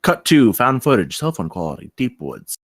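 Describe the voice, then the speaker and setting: A man's voice: medium pitch, very animated, moderate pace, no room sound, clean studio sound. A man reading out stage directions as the narrator of a screenplay table read.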